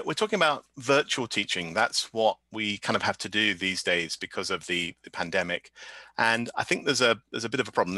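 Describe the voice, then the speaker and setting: A man's voice: on the low side, sharp tone, no room sound. A man presenting a webinar for language teachers over a video call, friendly and thinking aloud, with fillers and repeated words. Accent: non-Canadian English. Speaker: webinar speaker, teacher trainer.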